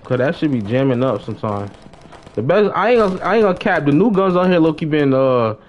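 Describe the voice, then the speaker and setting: A man's voice: a little high, expressive, quick pace, muffled recording, slight background noise. A young man chatting casually on a gaming livestream in slangy talk, often repeating his phrases. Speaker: live streamer.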